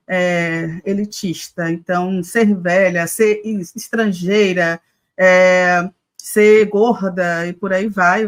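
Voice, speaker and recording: A woman from Brazil hosts a live news commentary stream. She talks conversationally, pausing on fillers as she thinks aloud. Her pitch sits in an ordinary middle range. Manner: conversational, many filler hesitations. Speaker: news show host.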